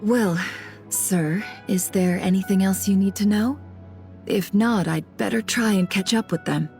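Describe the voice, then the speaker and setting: A woman playing a shrewd, self-assured female investigator in a video game, speaking in measured, polite phrases. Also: mid-range voice, a little mellow, faint music behind, natural voice. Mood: polite, feigned innocence. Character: composed, shrewd female investigator, posing as a lost trader. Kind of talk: scripted video game voice acting.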